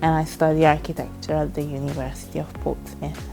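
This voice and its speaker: A young woman born in Italy speaks in a very low, gravelly voice, composed and formal. A clear music bed runs under her words. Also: little pitch movement, a little fast, warm tone. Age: young adult. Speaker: student. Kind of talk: interview testimonial.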